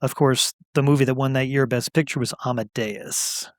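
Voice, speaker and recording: A man's voice: mid-range voice, fairly even intonation, moderate pace, dry recording, clean studio sound. A man co-hosting a casual movie chat, easygoing as he runs through years and lists of films. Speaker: co-host.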